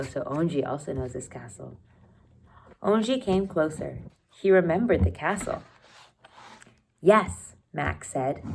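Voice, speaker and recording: A woman teacher reading a children's novel aloud expressively, pausing to think aloud and comment for her students. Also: sits low, darkish tone, a little hiss or hum. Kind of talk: read-aloud storytelling with asides to students.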